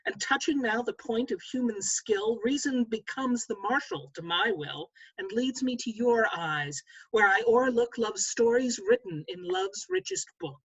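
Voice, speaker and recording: A woman's voice: on the high side, lively intonation, measured pace, hardly any pauses, husky, exaggerated voice, low-quality recording. A woman playing an ardent, fickle young nobleman in a verse play read over a video call, impassioned and declamatory. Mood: wooing. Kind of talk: stage verse read aloud at a table read.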